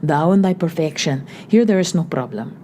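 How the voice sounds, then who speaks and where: A woman's voice: low voice, lively intonation, normal speed, dark tone, slight background noise. Pilar, a woman doing a blunt tough older woman. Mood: scornful. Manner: brusque and dismissive.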